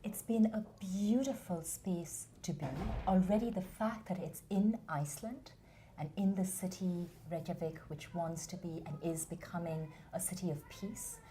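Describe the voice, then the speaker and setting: A woman with a low, mellow voice answers interview questions in an earnest, measured way. She speaks with deep conviction, and faint ambient noise hums behind her. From India. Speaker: interview guest, founder of a theatre academy.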